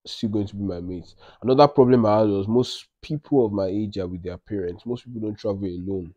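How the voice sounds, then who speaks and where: A man's voice: on the low side, a little fast, dry recording, muffled recording. A young man with a Nigerian accent chatting casually to camera as a travel vlogger, telling stories with Pidgin slang and quoting himself. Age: young adult. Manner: animated storytelling with Pidgin slang and self-quoting. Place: living room, indoors.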